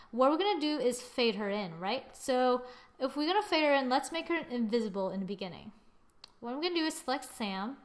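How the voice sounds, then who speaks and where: A woman narrating a software tutorial casually, thinking aloud as she goes in an informal way. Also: fairly high pitch. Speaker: tutorial presenter.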